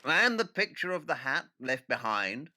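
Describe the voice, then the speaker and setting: An actor playing a brilliant, impatient Victorian detective in an audio drama, brusque, sarcastic and condescending. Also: male voice, on the high side, big pitch swings, normal speed, pushed and bright, exaggerated voice. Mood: musing.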